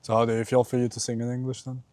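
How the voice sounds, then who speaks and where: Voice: mid-range voice, completely flat delivery, rapid-fire, a little mellow, a little hiss or hum. A podcast host asking questions in a casual interview, joking and teasing the guest and laughing a lot.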